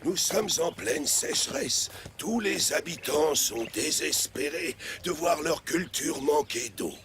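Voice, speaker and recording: A man's voice: a little high, animated, slow pace, background noise. An actor playing a kindly old male village storyteller in a cartoon, patient and theatrical in his telling. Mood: dramatic storytelling. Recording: music behind the voice. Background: music.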